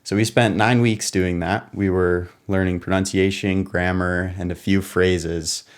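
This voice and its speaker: A young American man in a casual, relaxed monologue, explaining things step by step and sometimes correcting himself as he goes. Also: sits low, a little slow. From United States. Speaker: podcast co-host.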